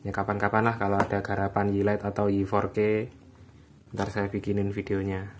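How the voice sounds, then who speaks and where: A man narrates a casual hands-on tutorial in an informal, unscripted, halting way, recorded indoors at a workbench. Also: on the low side, monotone. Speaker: electronics repair hobbyist giving a tutorial. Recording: background noise, phone or camera microphone.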